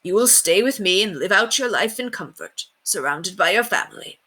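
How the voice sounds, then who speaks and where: A woman voicing a noble, warm-hearted male knight in a storybook reading. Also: medium pitch, animated, normal speed, slightly rough. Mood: tender.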